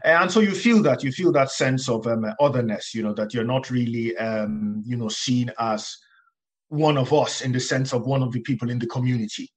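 A man's voice: mid-range voice, fairly quick. A man from Nigeria, a guest author, answering interview questions reflectively with many fillers and restarts.

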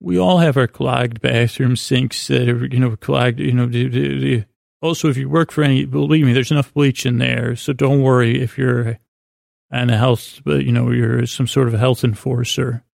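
Male podcast host, heavy vocal fry, dry close sound; rambling, halting, self-deprecating bedtime monologue. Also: medium pitch, steady tone, darkish tone, no echo. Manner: meandering, halting, stammering and doubling back on phrases.

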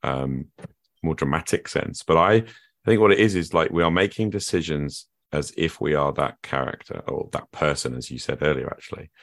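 This is a man with an extremely low, deep voice, hosting a podcast conversation. He talks reflectively and thinks aloud at length as he works through his ideas. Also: normal speed, fairly bright tone. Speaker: podcast host. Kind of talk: conversational interview.

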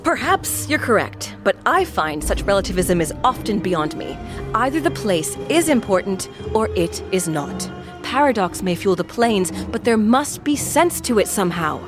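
A woman's voice: medium pitch, sing-song, moderate pace, lightly acted. A woman playing an inquisitive, articulate young visitor with a wry, emphatic manner in a fantasy audio drama, with music behind. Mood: thoughtful.

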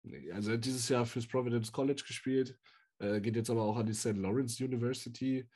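A man co-hosting a casual sports podcast, giving details in a relaxed, informative way. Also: medium pitch, a little fast, husky. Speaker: podcast co-host.

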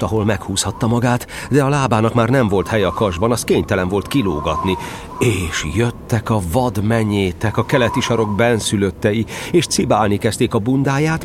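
A man's voice: on the low side, background noise. A man narrating a children's story expressively, playfully drawing out phrases for effect.

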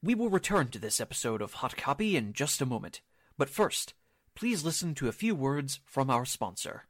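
Guy doing old-time radio announcer narration, really lively intonation, dry close sound. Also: mid-range voice, normal speed, dry recording.

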